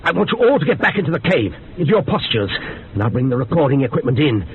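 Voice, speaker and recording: An actor playing an obsessed, overwrought scientist in a radio horror drama, excitable, urgent and imperious as he commands his subjects. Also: medium pitch, quick pace, breathy edge, phone-quality sound, exaggerated voice. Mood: feverish urging.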